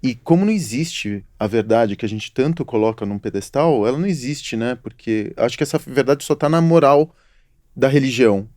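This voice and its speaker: A man's voice: medium pitch, fairly quick, darkish tone, no echo, studio quality. A middle-aged man hosting a casual interview podcast, telling stories in a reflective, philosophical way. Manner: reflective, storytelling, apologetic asides. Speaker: podcast host.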